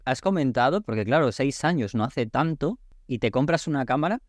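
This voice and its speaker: Chatty podcast host. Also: male voice, medium pitch, animated, a little fast, dry recording, clean studio sound. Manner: chatty, reassuring, with many fillers and rephrasings. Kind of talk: podcast interview.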